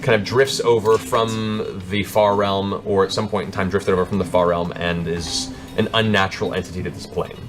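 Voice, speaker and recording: Deep-voiced man narrating over music. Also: fairly even intonation, moderate pace, few pauses. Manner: descriptive, dramatic storytelling. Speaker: game master. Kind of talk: narrating a tabletop fantasy game. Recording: music playing under the voice. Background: background music.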